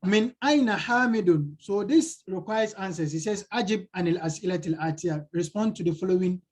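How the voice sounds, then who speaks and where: A man's voice: high voice, moderate pace. A male teacher explaining a textbook lesson, translating it line by line and repeating key phrases. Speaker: Arabic teacher.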